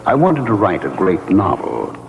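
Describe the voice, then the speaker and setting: An actor in a classic radio drama playing the gentle ghost of a murdered man, speaking formally and mournfully with measured hesitations. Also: low-pitched, wildly swooping pitch, gravelly, heavily acted. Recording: lo-fi vintage radio, long echoing reverb.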